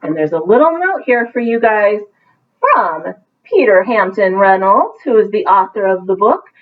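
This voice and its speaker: A woman's voice: medium pitch, animated, normal speed. A woman reading a picture book aloud to children like a teacher, with encouraging asides that explain things simply. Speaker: teacher reading a bedtime story. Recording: thin, phone-like narrowband sound.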